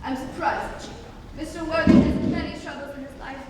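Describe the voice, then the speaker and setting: Woman playing a prim high-pitched scolding governess, echoey. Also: fairly even intonation, brisk, lightly acted. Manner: formal, admonishing. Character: prim stern middle-aged governess, moralising and proper. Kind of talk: stage dramatic reading of a period comedy. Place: large indoor hall, live performance. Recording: distant, echoey noisy hall.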